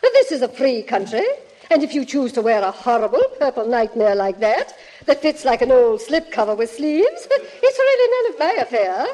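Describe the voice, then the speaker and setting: High-pitched, haughty society matron. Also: female voice, big pitch swings, moderate pace, warm tone, rough recording. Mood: mock-polite scorn. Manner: grand, theatrical, mock-polite. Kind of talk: 1940s radio comedy acting.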